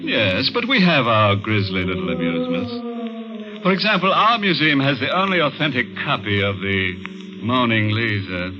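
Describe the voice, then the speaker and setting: An actor playing a macabre, mock-genial horror host in 1950s radio style, sardonic and theatrical, delighting in grisly wordplay. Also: male voice, medium pitch, very animated, moderate pace, lightly acted. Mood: ghoulish glee. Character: ghoulish, macabre male horror host, gleefully punning. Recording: dull old radio recording, loud music.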